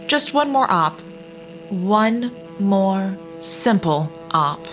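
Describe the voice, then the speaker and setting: A woman's voice: mid-range voice, expressive, drawn-out words, a bit bright, low-quality recording. A woman playing a cold, accusing apparition of a dead soldier in an audio drama, speaking with relentless deliberation. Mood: bitter, deliberate. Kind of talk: scripted audio drama acting. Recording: music under the voice.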